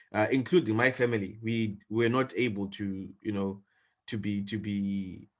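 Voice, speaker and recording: A young man from Zimbabwe in his twenties, a guest telling his story earnestly in an interview over a video call. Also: on the low side. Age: 20s. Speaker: guest entrepreneur. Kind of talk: interview answers.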